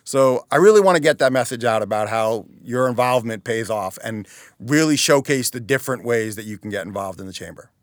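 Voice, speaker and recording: A man's voice: medium pitch, animated, a little fast, no echo. Earnest man in a dry, close recording. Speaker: chamber of commerce president, interview guest. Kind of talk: conversational interview.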